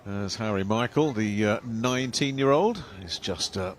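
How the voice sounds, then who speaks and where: Lively male sports commentator. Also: lowish voice, normal speed. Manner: lively, jokey presenting.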